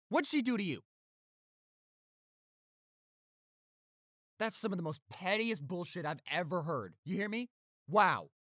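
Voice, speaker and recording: A man's voice: high voice, fairly bright tone, no echo, clean studio sound, full character voice. A man playing a protective, tender young boyfriend who turns fierce toward bullies, in an intimate audio roleplay. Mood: furious, protective. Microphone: close studio microphone.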